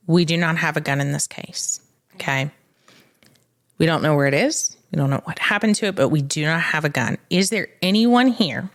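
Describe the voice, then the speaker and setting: Lower-pitched woman telling stories casually. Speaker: trial attorney and podcast host. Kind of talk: casual conversational storytelling.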